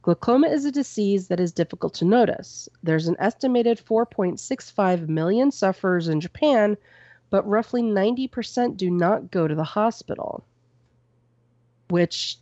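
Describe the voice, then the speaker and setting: A woman co-hosting a podcast from home on a boom-arm mic. She reads out a news story and then chats about it loosely, with plenty of "um" and "you know". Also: expressive, moderate pace, dark tone, no room sound, lo-fi sound. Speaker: podcast co-host reading news. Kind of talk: casual news chat. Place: at home with a cat nearby. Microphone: microphone on a boom arm.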